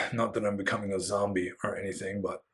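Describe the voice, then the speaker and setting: Monk guy answering questions solo, lowish voice, going a bit hoarse, lots of um and restarts. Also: level tone, fairly quick, bright, forceful tone. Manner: rambling, many fillers and restarts, candid opinions. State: getting hoarse.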